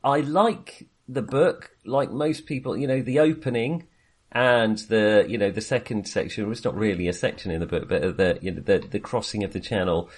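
A man co-hosting a podcast, talking casually about films in a hesitant, halting way. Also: lowish voice, husky. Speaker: podcast co-host. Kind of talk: casual film discussion.

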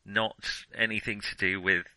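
A man with a very bright, sharp-edged voice chats about films as a podcast co-host. He stops, restarts and hesitates often, with a dry room sound and a self-mocking, joking tone. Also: on the low side, flat delivery, dry recording, low-quality recording. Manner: halting, self-interrupting, hesitant phrasing. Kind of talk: casual film discussion.

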